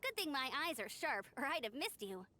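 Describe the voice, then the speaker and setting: A woman voicing a peppy, outgoing, warm-hearted young female adventurer in a video game, energetic and encouraging. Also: sits high, fairly quick, slight background noise, full character voice. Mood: excited.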